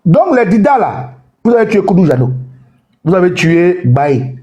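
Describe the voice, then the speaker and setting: A man's voice: high-pitched, big pitch swings, normal speed, mellow, dull audio. A man speaking in a heated online debate, emphatic and lecturing, repeating himself for force. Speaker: participant in an online debate room. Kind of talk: heated political talk.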